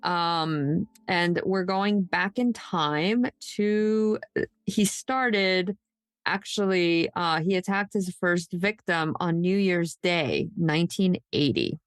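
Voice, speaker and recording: A woman's voice: lowish voice, slow, clear, resonant tone, no room sound, clean studio sound. A woman hosting a true crime podcast in a casual, loosely unscripted, conversational way. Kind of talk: casual co-hosted podcast chat.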